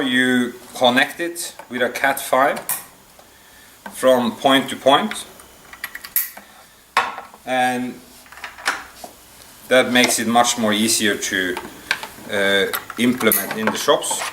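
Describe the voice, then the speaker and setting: A man giving an unscripted product demonstration, explaining step by step in a halting, hesitant way. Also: mid-range voice, steady tone. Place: indoor room at a table. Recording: echoey room, distant mic, faint noise.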